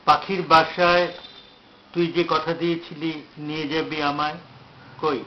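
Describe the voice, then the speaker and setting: Slow, higher-voiced man reciting poetry. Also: little pitch movement. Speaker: poet reciting own poem. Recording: dull lo-fi, faint background noise.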